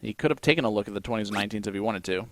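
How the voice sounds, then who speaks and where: A man's voice: fairly low pitch, racing, slight background noise. A man with an Indiana accent calling a darts match play by play, joking and teasing his co-commentator. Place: commentary desk at a darts tournament.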